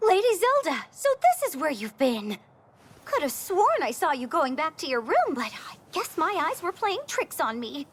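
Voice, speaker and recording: Excitable, high-voiced castle maid. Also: female voice, normal speed. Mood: earnest, puzzled. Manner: theatrical, gossipy, breathless speculation. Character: excitable chatty young castle maid with wild imagination. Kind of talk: video game cutscene acting. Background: music.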